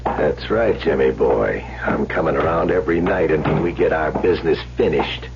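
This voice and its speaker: A super deep gravelly loan shark tough guy, mocking, old radio drama, noisy dull tape. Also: normal speed. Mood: taunting, menacing. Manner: taunting, slangy. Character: menacing, mocking male loan collector, street tough. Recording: muffled, noisy old radio recording with clicks.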